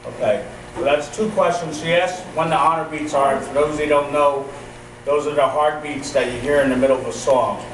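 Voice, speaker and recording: A man's voice: a little high, fairly even intonation, moderate pace. A man leading a drum group, explaining traditions to listeners in a rambling way with fillers. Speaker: drum leader. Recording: echoey room, distant mic, noise.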